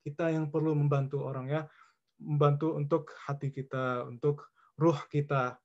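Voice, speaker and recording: A man from California giving an informal Islamic lecture as a guest speaker, mixing in English words and pausing often mid-sentence in short phrases. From California, United States. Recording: dull, muffled low-fidelity audio.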